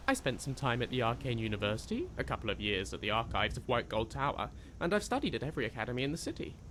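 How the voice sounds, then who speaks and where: A man's voice: mid-range voice, very animated, fast, a bit bright, slight background noise. A man playing an eager, bookish young scholar in a video game, polite, wordy and well-spoken, with a self-deprecating manner. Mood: enthusiastic. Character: eager, bookish young male scholar adventurer from a fantasy empire. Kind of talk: video game character dialogue. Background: music.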